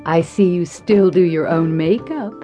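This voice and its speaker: Low-voiced, insinuating gossip columnist played by a woman. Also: measured pace, over music, natural voice. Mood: sly, probing. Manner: arch, insinuating. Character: sly, prying female Hollywood gossip columnist. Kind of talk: audio drama acting.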